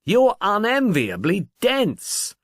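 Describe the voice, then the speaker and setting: A man's voice: high-pitched, huge pitch swings, slow, dry recording, voice pushed up, full character voice. An impatient, clever amateur detective , acted out by a male reader lectures emphatically in an audiobook mystery. The voice swoops up and down with great animation, recorded very dry.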